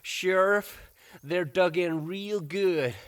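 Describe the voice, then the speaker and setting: Slow-drawling sarcastic posse gunman. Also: high-pitched, background noise. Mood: grim, sarcastic. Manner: sarcastic, grumbling. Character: beleaguered, sarcastic Old West posse gunman. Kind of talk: western audio drama acting.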